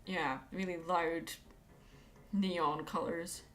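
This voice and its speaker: A woman's voice: fairly low pitch, steady tone, slow, fairly bright tone. A woman chatting casually on an art livestream as she draws, musing aloud and thinking as she goes. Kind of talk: casual livestream chat while drawing. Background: background music.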